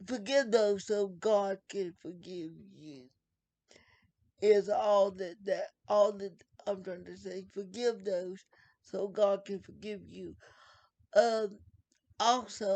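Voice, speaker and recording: Man, high voice, gravelly, slow and earnest, repeats himself. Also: steady tone, a little mellow. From North Carolina. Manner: unhurried and earnest, repeats phrases, religious. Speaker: video blogger. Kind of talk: casual direct-to-camera talk.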